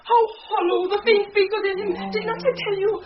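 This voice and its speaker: A woman's voice: extremely high pitch, fairly even intonation, a little fast. An actress playing a mischievous serving woman, teasing and full of feigned alarm, in Shakespearean radio drama. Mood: mock alarm. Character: mischievous, mocking serving woman stoking a prank. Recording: dull noisy early-1990s radio drama recording.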